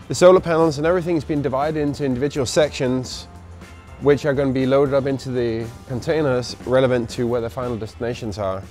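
A man's voice: medium pitch, darkish tone. A man in his thirties walking through a warehouse and office, casually updating viewers while filming himself at arm's length. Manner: conversational, searching for words with fillers. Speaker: company team member giving a project update. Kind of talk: casual walk-and-talk vlog. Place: large warehouse and office indoors. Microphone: handheld camera at arm's length.

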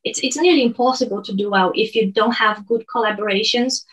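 A woman in her thirties with a Brazilian Portuguese accent and a fairly high voice speaks over a video call. Her English is measured and earnest, with the odd restart as she explains her work. She sounds like she's at home in her bedroom. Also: fairly bright tone, rough recording. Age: 30s. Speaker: guest, online lecturer.

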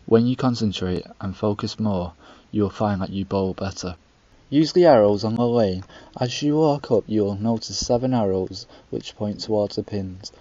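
A young man with a low voice reads instructions in a stiff, scripted way. The recording is dry and muffled, with a lo-fi dullness. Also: moderate pace, dark tone, dry recording. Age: young adult. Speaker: student documentary narrator. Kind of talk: scripted voice-over narration. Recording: dry dull lo-fi voice-over.

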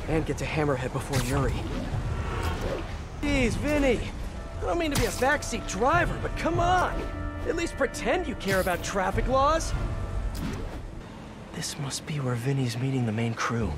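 An actor playing an earnest, wisecracking young superhero in a video game, quippy and often talking to himself. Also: male voice, a little high. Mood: quippy exasperation. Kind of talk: video game hero dialogue. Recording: loud music over voice.

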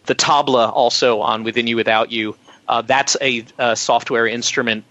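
A man chatting casually as a musician guest in an interview, telling stories in an animated, self-deprecating way and acting out remarks. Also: medium pitch, moderate pace, muffled recording.

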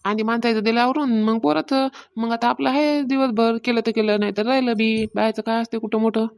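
A woman's voice: on the high side, fairly even intonation, a little fast, darkish tone, close to an everyday voice. A woman playing an aggrieved village housewife in a cartoon comedy, complaining colloquially with a rural Maharashtrian accent. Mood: chatty, complaining. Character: aggrieved village housewife fretting over her worship. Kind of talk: amateur cartoon comedy dialogue.

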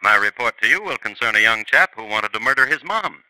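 A man's voice: medium pitch, lively intonation, fairly quick, bright, forceful tone, dry recording, poor audio. A man narrating a 1950s radio crime drama in a dry, wry storytelling manner.

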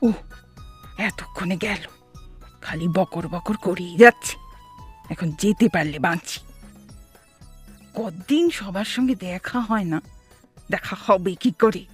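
A woman playing an exasperated, sharp-tongued elderly wife with a West Bengal accent in a comic audio drama, scolding and sarcastic. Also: on the low side, takes it slowly, pauses often, slightly rough, soft background music, full character voice. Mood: grumbling. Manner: scolding, sarcastic, theatrically grumbling.

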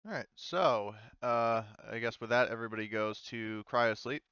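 A man narrating a tabletop roleplaying game as game master in an informal, halting way. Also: medium pitch, fairly bright tone, clear, resonant tone, no echo, muffled recording.

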